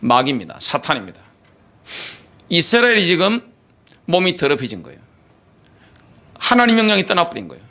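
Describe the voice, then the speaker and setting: A middle-aged male pastor delivering an impassioned sermon, firing off rhetorical questions, then dropping to quiet asides. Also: a little high, wildly swooping pitch, a little fast, long pauses. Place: church sanctuary.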